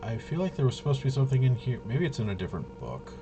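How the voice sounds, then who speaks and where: A man giving a casual, unscripted walkthrough as a host, rambling and halting, with muttered asides to himself. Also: medium pitch, huge pitch swings, highs cut off, over music. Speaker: host of a tabletop RPG review video.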